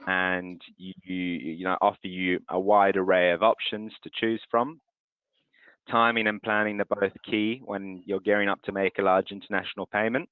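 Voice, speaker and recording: A man from France, presenting for a currency transfer firm in a webinar and running audience polls in a clear, steady way. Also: on the low side, no echo. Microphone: video call. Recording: tinny phone-like call audio.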